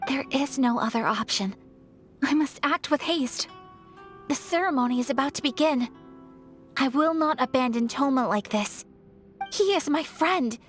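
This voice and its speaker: Anime game role: young noblewoman, high-pitched, gracious, measured and worried, with firm resolve. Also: expressive, faint music behind. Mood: resolute, anxious. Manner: formal, earnest, measured diction. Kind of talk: anime video game voice acting.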